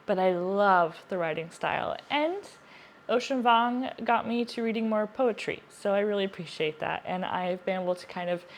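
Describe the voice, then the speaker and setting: A woman's voice: medium pitch, moderate pace, a little hiss or hum. A woman with a mid-range voice talks casually to her viewers as a book reviewer. Her delivery is chatty and earnest, and she often repeats a word to stress it. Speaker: book reviewer, video host.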